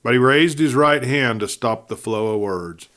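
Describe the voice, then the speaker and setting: Male audiobook narrator for a western: folksy, conversational storytelling with dramatic emphasis, mid-pitched voice. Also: normal speed, fairly bright tone.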